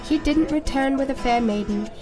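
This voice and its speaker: A woman narrating a comic fairy tale as a wry, deadpan storybook teller. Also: sits high, moderate pace, music in the background, lightly acted. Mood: wry storytelling. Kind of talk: scripted narration.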